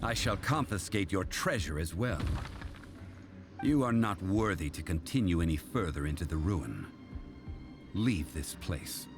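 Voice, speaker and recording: A man voicing a calm, dignified game character who speaks formally and holds people to contracts. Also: sits low, over music. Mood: stern. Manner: formal, measured, old-fashioned speech. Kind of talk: video game voice acting.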